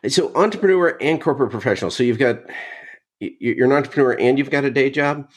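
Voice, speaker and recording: A man's voice: medium pitch, fast talker. A man hosting a podcast, asking friendly, rambling questions in casual talk. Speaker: podcast host.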